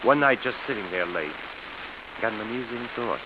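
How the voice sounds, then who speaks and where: An actor playing an embittered, falsely genial schemer with quiet menace, savoring his words in 1940s radio suspense style. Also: on the low side, lively intonation, brisk, natural voice. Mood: slyly confiding. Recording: muffled, hissy vintage radio recording.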